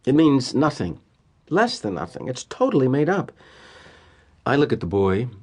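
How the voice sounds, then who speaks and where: Cynical American executive, theatrically animated. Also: male voice, medium pitch, husky. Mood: smugly controlled. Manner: wry, deliberate, with emphatic pauses. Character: cynical, polished American corporate executive confessing his ruthlessness. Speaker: actor in an audio play. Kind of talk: theatrical first-person dramatic monologue.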